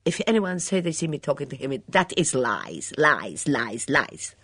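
A fiery, indignant foreign cook, put on by a woman reader who talks in halting broken English and hammers her words by repeating them. She is pitched high and loud, with a husky grain and a dry, close sound. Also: big pitch swings, dry recording, heavily acted. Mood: contemptuous.

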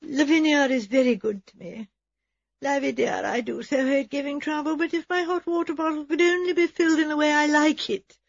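A woman's voice: on the high side, lively intonation, measured pace, gravelly, husky, no echo, lo-fi sound, exaggerated voice. A woman voicing a frail, fussy, self-pitying English spinster invalid in a thin, weak, melancholy voice. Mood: plaintive, martyred. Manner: melancholy, martyred, plaintive.

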